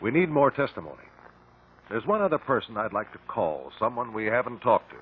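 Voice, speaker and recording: A shrewd male investigator in a vintage radio drama. His slightly husky voice swings widely in pitch as he reasons and probes with calm, deliberate emphasis. The sound is narrow, old broadcast audio. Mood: reasoning, firm. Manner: measured, precise questioning, deliberate emphasis. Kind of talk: 1950s radio drama acting. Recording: muffled lo-fi 1950 radio broadcast.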